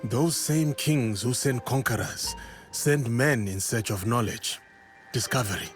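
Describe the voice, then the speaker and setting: A principled warrior from a historical game drama played by a man, with a medium-pitched voice. He speaks calmly and deliberately in formal phrases. Music plays softly behind him. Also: close to an everyday voice. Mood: reflective, calm. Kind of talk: dramatic game cutscene acting.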